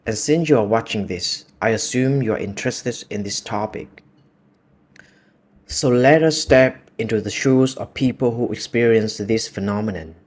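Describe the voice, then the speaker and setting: A man with a Vietnamese accent, speaking English as a second language in a slightly low voice. He talks in a calm, measured and contemplative way, like a reflective solo monologue. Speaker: video essay host.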